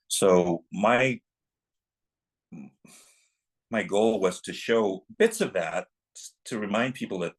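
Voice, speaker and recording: A deep-voiced male filmmaker on an interview livecast, thoughtfully feeling his way through the story behind his work. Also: husky. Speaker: film director, interview guest. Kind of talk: reflective interview conversation.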